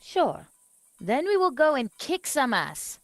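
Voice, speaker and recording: A bold young woman from a point-and-click game. She is spirited and feisty, her voice a touch high, with soft game music behind. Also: very animated, slow pace, no room sound, heavily acted. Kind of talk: video game voice acting.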